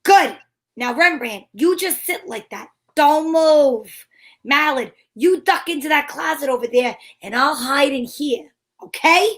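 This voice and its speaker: A woman playing a brisk, bossy, wry female detective who narrates her case in old-time radio drama style. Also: high-pitched, lively intonation, fairly bright tone. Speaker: narrator and lead actor.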